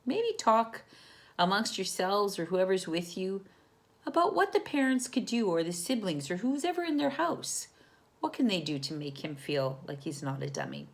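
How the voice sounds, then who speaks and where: A woman's voice: medium pitch, wildly swooping pitch, moderate pace. A woman teacher doing a storytime read-aloud, talking encouragingly and directly to young children and asking them questions.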